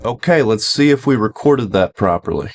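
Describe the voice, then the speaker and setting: A man's voice: fairly low pitch, animated, moderate pace, faint music behind. A man narrating a casual home-recording vlog as host, in a wry, self-deprecating way.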